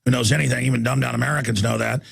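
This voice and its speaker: Gravelly, husky man hosting call-in radio. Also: mid-range voice, fairly even intonation, very fast, no echo. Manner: emphatic, rambling, repeats words. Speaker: radio talk show host.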